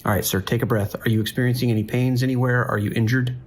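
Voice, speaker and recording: A man's voice: medium pitch, steady tone, quick pace, lightly acted. A man playing a harried, commanding New York police dispatcher in an audio drama, giving urgent, clipped orders. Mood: controlled, firm.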